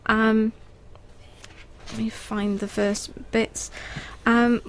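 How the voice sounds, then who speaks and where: A woman's voice: fairly high pitch, flat delivery, extremely slow. A woman guest on a radio interview, talking earnestly and thoughtfully about poetry with frequent ums. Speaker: guest poet and writer.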